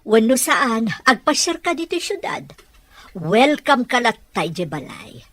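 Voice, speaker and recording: A woman acts a caring, curious elder woman in an audio drama. Her voice is husky and mid-pitched, with very lively swings, recorded dry. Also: measured pace, no room sound, heavily acted. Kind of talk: dramatized audio story acting.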